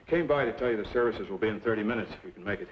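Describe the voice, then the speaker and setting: A man's voice: lowish voice, lively intonation, very fast, close to an everyday voice. An actor playing a grave frontier townsman in an old-time radio western. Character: grave frontier townsman bearing bad news. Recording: muffled, hissy old radio recording.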